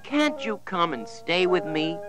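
Guy putting on a happy excited little kid voice, way higher than his own. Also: unhurried, music in the background. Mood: eager. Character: eager, cheerful young boy.

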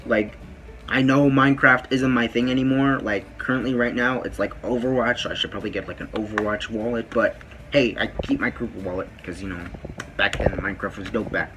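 A teenage boy with a deep voice, rambling casually to his phone camera in a small bedroom, with lots of fillers. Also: fairly even intonation, brisk, fairly bright tone, slight background noise, poor audio. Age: teens. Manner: rambling, many fillers and "freaking". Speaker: vlogger. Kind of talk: casual vlog talk to camera. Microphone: phone camera at close range.

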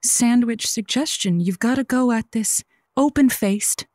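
A woman's voice: fairly high pitch, a little slow, darkish tone, no echo, clean studio sound. A woman playing an earnest, effusive radio advice host in a semi-scripted audio drama, gushing and theatrical. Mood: earnest, dramatic.

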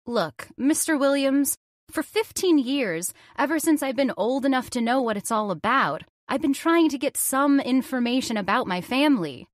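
A woman acts out a young woman in a radio horror play, high-pitched and swooping. She is anxious and insistent, full of dramatic pleading questions. The sound is close and dry. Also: lively intonation, moderate pace, dry recording. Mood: frustrated, pleading. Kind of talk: old-time radio horror acting.

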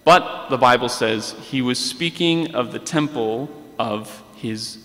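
A man in his thirties preaching a sermon at a pulpit microphone in a large church sanctuary, earnest and deliberate, reading scripture aloud. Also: medium pitch, a bit bright. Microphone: pulpit microphone on a stand. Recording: long church reverb.

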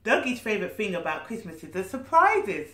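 Animated woman reading stories, roomy sound. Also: medium pitch, brisk. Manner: expressive, asks the listener questions. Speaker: nanny reading a storybook to children. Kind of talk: animated children's story reading with asides. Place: small living room, indoors. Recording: roomy living-room echo.

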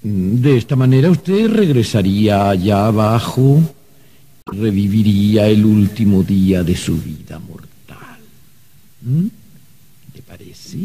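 An actor playing a fussy, flustered archangel bureaucrat in a radio drama, pompous and hesitant. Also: male voice, lowish voice, lively intonation, takes it slowly, warm tone, breathy edge, full character voice. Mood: officious, measured. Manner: hesitant, pompous, hemming and hawing. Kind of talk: Spanish-language radio drama acting.

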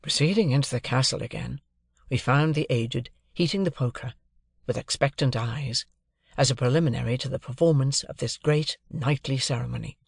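A man's voice: medium pitch, moderate pace, gravelly, breathy edge, dry recording. A man reading a classic novel as scripted audiobook narration.

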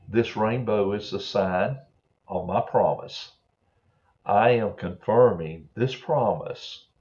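An elderly man in his seventies, a preacher speaking gently and earnestly to children as he shares Bible stories and prayers. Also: lowish voice. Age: 70s. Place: small home office, indoors. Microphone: computer webcam at desk distance. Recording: dull, muffled webcam-style sound.

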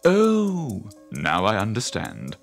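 An actor in a radio drama playing an unflappable, butler-like attendant who speaks formally and politely. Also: male voice, lowish voice, huge pitch swings, slow pace, vocal fry, over music, full character voice. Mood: patient, bemused. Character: courteous, unflappable male butler-like attendant, quietly knowing.

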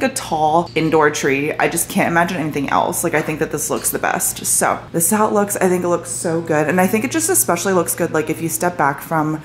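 A young woman in her twenties chatting casually to camera for her lifestyle vlog, with lots of fillers, recorded on a camera mic in her apartment. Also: fairly low pitch. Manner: chatty, many fillers, enthusiastic about stationery. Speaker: lifestyle vlogger. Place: apartment living room, indoors. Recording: echoey room with faint noise.

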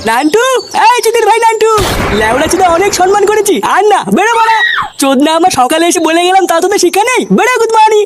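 Cartoon village bully, a woman doing the voice, very high and quick, crude, noisy mix. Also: animated, over music. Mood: angry. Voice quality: crude cursing, bossy. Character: foul-mouthed village tough, male, threatening. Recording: noisy background.

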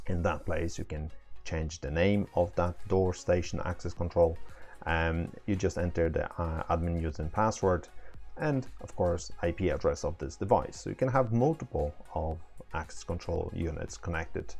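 A man's voice: low voice, moderate pace, gravelly, darkish tone, soft background music. A man in his thirties giving a casual, informal, step-by-step tutorial as a product demonstrator. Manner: informal, hands-on, step-by-step explaining. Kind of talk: casual tutorial, explaining setup steps.

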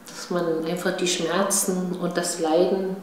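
A woman's voice: fairly low pitch, flat delivery, a little mellow. Berlin woman in a very echoey room. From East Berlin, Germany, born in Chile. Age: 50s. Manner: halting, searching for words, often seeking agreement with tag questions. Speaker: interviewee, political activist. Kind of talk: personal testimony, recounting family history to camera. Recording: very echoey room, faint background noise.

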